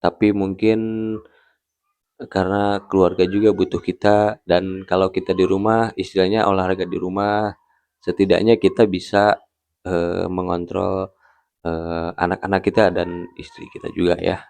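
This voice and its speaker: A man in his early thirties talking casually to camera as a vlogger, in a halting way with frequent tag words at the ends of phrases. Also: low voice, little pitch movement, creaky, a little mellow, clean tone. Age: early 30s.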